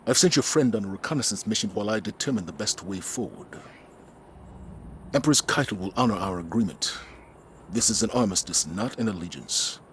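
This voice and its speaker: A man voicing a grave, burdened older military commander in dramatic game cutscenes, speaking in a solemn, measured, formal way. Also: on the low side, animated, a bit bright, a little hiss or hum. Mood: solemn, weary.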